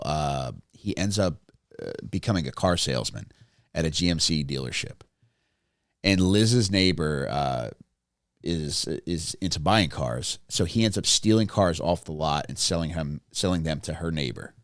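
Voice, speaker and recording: A man co-hosting a comedy podcast, recounting a true crime case with deadpan, teasing jokes. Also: deep voice, normal speed, no echo, studio quality. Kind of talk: joking true-crime storytelling.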